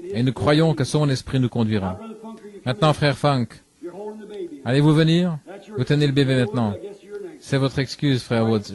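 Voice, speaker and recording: A hoarse man with a cold preaching a sermon in an earnest, pleading way. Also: medium pitch. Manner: earnest pleading, breaks into hymn singing.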